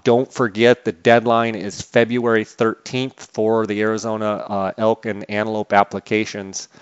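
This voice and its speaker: A man hosting a podcast in a conversational way, on slightly dull, lo-fi audio. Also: fairly low pitch. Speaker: podcast host.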